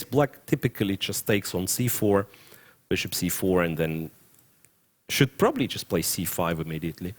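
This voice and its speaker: A young adult man with a Ukrainian accent, giving live commentary on a chess game, thinking aloud and often stopping to correct himself. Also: on the low side. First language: Russian.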